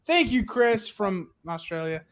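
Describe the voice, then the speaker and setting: Male host, high-pitched voice, casual panel chat, noisy group-call recording. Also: very animated, measured pace. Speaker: host of a fan review show.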